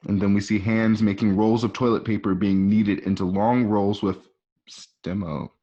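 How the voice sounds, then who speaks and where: A man's voice: on the low side, little pitch movement, moderate pace, dark tone. A man reading scripted scene descriptions as the narrator of a radio play. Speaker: narrator reading stage directions. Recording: muffled home recording.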